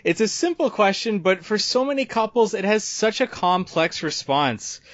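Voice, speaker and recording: A man's voice: sits high, expressive, moderate pace, dry recording, highs cut off. A man hosting a travel podcast, reading a scripted intro and then asking interview questions with upbeat energy. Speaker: podcast host.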